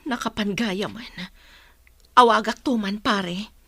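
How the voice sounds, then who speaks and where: A woman playing a worried, loving middle-aged mother in a Filipino radio drama, with a concerned, gently lamenting manner. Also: mid-range voice, slow, slightly rough, dry recording, full character voice.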